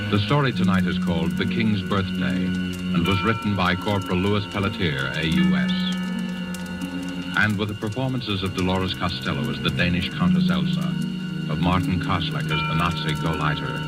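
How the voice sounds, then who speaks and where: A low-voiced man announces a suspense show with formal, theatrical delivery. It sounds like a 1940s broadcast, with loud music under the voice. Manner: formal, dramatic. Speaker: radio drama announcer and host. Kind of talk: 1940s radio announcing, scripted.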